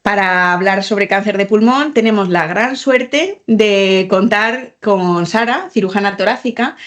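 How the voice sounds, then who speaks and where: Chatty woman hosting a podcast. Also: medium pitch. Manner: chatty, laughs often, asks and rephrases questions. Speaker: podcast host. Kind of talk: friendly interview.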